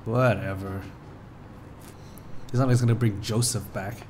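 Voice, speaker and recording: A man's voice: lowish voice, fairly even intonation, measured pace, a little mellow, slight background noise. Wry man commenting on gameplay. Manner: wry, sarcastic asides. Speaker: gameplay video host. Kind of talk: casual commentary over gameplay. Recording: game music under commentary.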